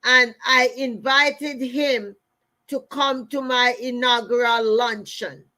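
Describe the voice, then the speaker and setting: This is a middle-aged Jamaican woman speaking as a motivational host. Her voice sits fairly high for a woman and she takes her time, at a markedly slow pace. She tells personal stories and drives points home emphatically, repeating key phrases as she addresses listeners directly. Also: steady tone, bright, forceful tone. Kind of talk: motivational talk with personal storytelling.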